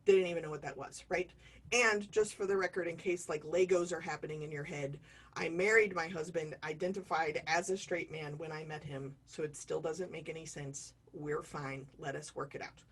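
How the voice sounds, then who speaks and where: A woman's voice: sits low, steady tone, normal speed, breathy edge. A woman in her forties from the United States, giving an online diversity training in a chatty, self-deprecating way with jokey asides. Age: 40s.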